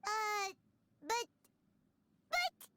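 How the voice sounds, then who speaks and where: Tiny childlike girl companion, game role, extremely high, squeaky, slow and fretful, noisy recording. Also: expressive, exaggerated voice. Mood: hesitant. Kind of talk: video game voice acting. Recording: loud noise behind the voice.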